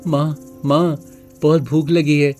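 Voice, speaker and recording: A man voicing a trusting, plaintive young orphaned calf in an animated moral story. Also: on the high side, slow pace, soft background music. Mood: sad, plaintive. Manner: earnest, plaintive.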